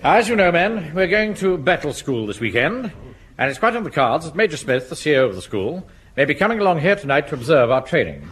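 Pompous English captain, bright and animated. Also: male voice, a little high, fairly quick, slightly rough, exaggerated voice. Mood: officious, brisk. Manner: pompous, officious, clipped. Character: pompous middle-aged male Home Guard captain briefing his platoon. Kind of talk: 1970s British radio sitcom acting. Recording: noisy old radio comedy recording.

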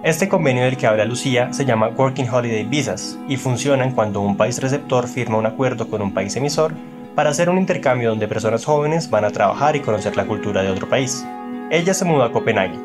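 A man hosting a travel podcast, narrating a scripted, measured introduction. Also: medium pitch, over music. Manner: calm, measured presenter reading. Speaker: podcast host.

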